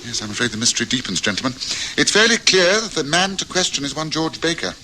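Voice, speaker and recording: Shrewd detective, formal n commanding, really sharp bright voice, old radio play, bit of noise. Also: male voice, a little high, very animated, exaggerated voice, poor audio. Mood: intrigued. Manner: deliberate, inquisitive, formal. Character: Sherlock Holmes, shrewd, courteous, commanding gentleman detective. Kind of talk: old-time radio drama acting.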